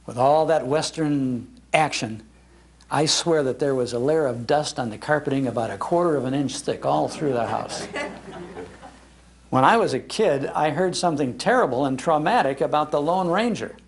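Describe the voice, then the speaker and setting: Casting: older American male storyteller, slightly high voice, enthusiastic public speaker with nostalgic delivery. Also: moderate pace. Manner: enthusiastic, acts out quoted lines. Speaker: speaker on old-time radio history. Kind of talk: nostalgic storytelling talk to an audience. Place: public library talk.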